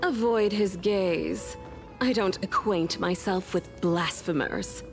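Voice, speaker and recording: Snooty pious game npc, slow and scornful. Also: female voice, mid-range voice, soft background music. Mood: disdainful. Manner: disdainful. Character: haughty, pious female onlooker. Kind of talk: game voice acting.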